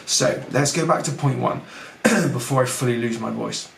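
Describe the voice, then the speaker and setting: Husky-voiced man in an echoey room. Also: medium pitch, moderate pace, a bit bright. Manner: rambling, self-mocking, talks straight to the listener. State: husky, losing his voice. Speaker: fitness coach and podcast host. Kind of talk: casual podcast talk, teaching. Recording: echoey room with faint background noise.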